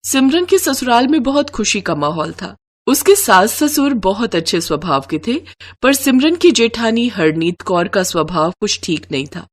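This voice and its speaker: Woman, narrator, medium pitch, scripted moral-story narration. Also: very animated, normal speed.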